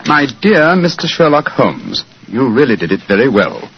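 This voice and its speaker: Courteous, assured detective, husky voice, precise delivery, vintage radio drama. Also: male voice, mid-range voice, big pitch swings, normal speed. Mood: reading, measured. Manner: crisp, precise, gentlemanly. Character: brisk, assured, courteous gentleman detective, a man. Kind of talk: 1940s radio acting. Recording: dull vintage radio recording.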